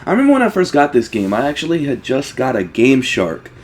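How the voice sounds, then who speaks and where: A man giving casual, rambling, profanity-laced gaming commentary with excitable fan talk. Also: medium pitch, fast talker. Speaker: let's play host.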